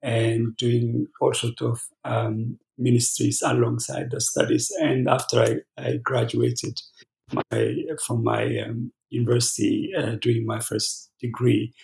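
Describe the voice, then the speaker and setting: A gravelly-voiced man speaks as a pastor guest, stopping often and restarting his sentences. The recording has a noticeable roomy echo around him. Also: mid-range voice, level tone, a little mellow. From Ethiopia. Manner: halting, many fillers and restarts. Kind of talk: conversational interview answers.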